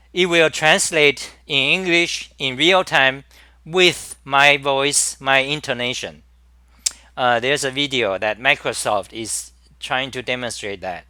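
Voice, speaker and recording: A man with a Chinese accent hosting a live-stream talk, speaking in halting bursts with many restarts and repeated words. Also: medium pitch, pushed and bright, dry recording. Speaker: host.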